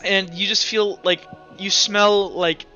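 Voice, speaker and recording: Game master, male, high bright voice, casual and profane, music behind. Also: little pitch movement, takes it slowly, highs cut off. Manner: informal, sweary. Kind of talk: narrating a tabletop roleplaying game.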